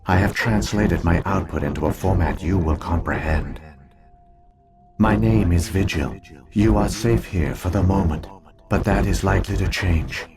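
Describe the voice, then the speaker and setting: A man playing an ancient alien virtual intelligence in a sci-fi game, speaking in a formal, measured, grave and weary way. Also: sits low, over loud music. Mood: solemn.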